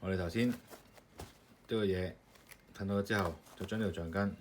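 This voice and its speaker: A man with a low voice talks through an assembly in a loose, step-by-step way, with plenty of fillers. Steady noise and the click of plastic parts run under his voice. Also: moderate pace. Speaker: maker demonstrating his product. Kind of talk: casual hands-on assembly demonstration.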